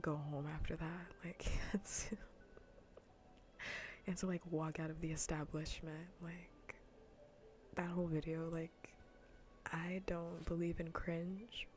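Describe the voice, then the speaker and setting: A woman streamer chatting playfully and casually in a soft, breathy, often near-whispered ASMR style, close to the microphone. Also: lowish voice. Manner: playful, rambling, half-whispered casual talk. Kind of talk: soft-spoken ASMR gaming livestream chat.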